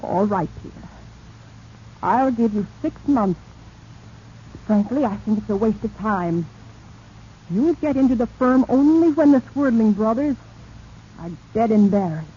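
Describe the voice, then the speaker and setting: An actress playing a resentful, ambitious wife who nags insistently in a 1940s radio drama. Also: female voice, on the high side, animated, a little slow, long pauses, mellow. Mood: cold, contemptuous. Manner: scornful, insistent, clipped theatrical delivery. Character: ambitious, resentful, nagging wife demanding status. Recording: muffled, noisy 1940s radio recording.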